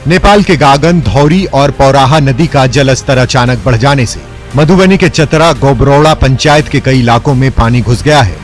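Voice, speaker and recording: A man's voice: mid-range voice, normal speed, hardly any pauses, faint music behind. A man reading scripted news narration as a voice-over reporter in a formal manner.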